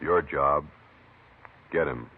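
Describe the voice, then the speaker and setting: A man's voice: rumbling low, slow, slightly rough. A man delivering dramatic scripted narration as a 1950s radio announcer, grave, terse and portentous. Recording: muffled old radio, loud brass music behind.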